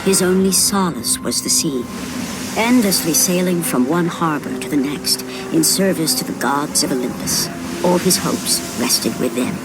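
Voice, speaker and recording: Mythic storyteller, lowish pitch, solemn, portentous, measured dramatic narration, video game, loud music. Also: female voice, expressive, poor audio. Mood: solemn, foreboding. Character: solemn female storyteller of Greek myth.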